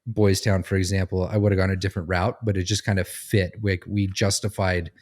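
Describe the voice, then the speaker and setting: A man explaining things in casual podcast chat, in a halting, thinking-aloud way. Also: low voice, little pitch movement. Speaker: podcast co-host.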